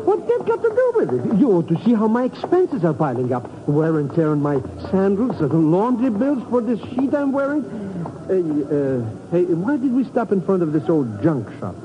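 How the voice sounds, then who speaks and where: An actor playing a money-grubbing, complaining comic sidekick in a 1950s radio drama, stammering and wheedling. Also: male voice, high voice, huge pitch swings, moderate pace. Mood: whiny. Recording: muffled, very noisy old radio broadcast.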